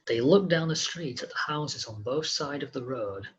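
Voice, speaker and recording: A man's voice: mid-range voice, animated, normal speed, husky, dull audio. A man reading stage directions as scripted narration.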